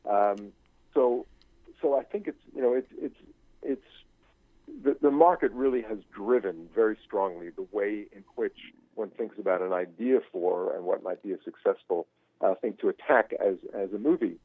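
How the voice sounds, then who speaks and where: An older man speaking as an interview guest in a reflective, conversational way, haltingly searching for his words. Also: fairly low pitch, slightly rough, dry recording. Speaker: interview guest, novelist and screenwriting teacher. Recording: thin phone-like line.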